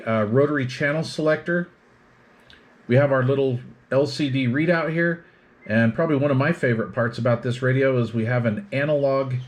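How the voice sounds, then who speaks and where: A man giving an explanatory product demonstration, methodically listing features in short phrases as a reviewer. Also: mid-range voice.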